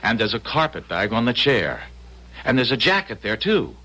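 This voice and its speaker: A man's voice: mid-range voice, big pitch swings, moderate pace, bright, forceful tone. An actor playing an eager, easily flustered young husband in an old-time radio drama, sometimes hesitant in his delivery.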